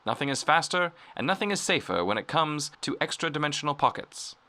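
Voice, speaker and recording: A man playing an earnest old-time radio pitchman, delivering a polished, salesmanlike vintage advertisement. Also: expressive, brisk, bright, forceful tone.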